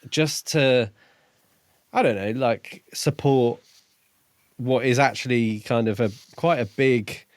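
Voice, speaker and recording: A man co-hosting a podcast, chatting casually about books in a tentative, softly qualified way. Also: mid-range voice, takes it slowly, pauses often, dry recording. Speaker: podcast co-host. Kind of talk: casual book-club podcast chat.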